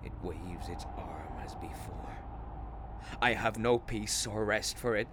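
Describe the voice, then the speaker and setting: Man acting a slow, low-voiced haunted railway signalman. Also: noisy background. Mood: haunted, dread. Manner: grave, halting, dramatic storytelling with hushed drops and anguished outbursts. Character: haunted, troubled railway signalman telling of a spectre. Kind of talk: radio play acting of a Victorian ghost story.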